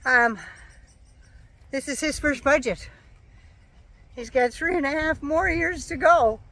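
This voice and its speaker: A woman giving a sarcastic, profane political rant as a vlogger, her voice going hoarse. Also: sits high, takes it slowly, a bit bright, dry recording. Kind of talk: casual ranting monologue.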